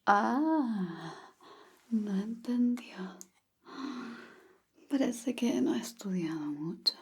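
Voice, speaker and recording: Slow whispering woman, breathy. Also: fairly high pitch, lively intonation. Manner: soft whisper, playful teasing. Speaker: ASMR roleplay Spanish tutor. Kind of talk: whispered ASMR roleplay.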